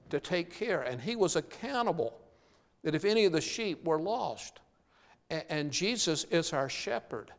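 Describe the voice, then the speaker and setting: A male pastor delivers a sermon in a church, earnest in tone. He slows and stretches his key words to press a point home. His voice rings with the long reverb of a large sanctuary. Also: on the high side.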